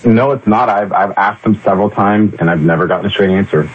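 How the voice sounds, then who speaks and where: A man's voice: on the low side, quick pace, slightly rough, dull audio. A man guesting on a talk radio show, talking casually and animatedly, acting out quotes. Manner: animated, quotes others in raised voice, laughs often. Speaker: weekly guest columnist.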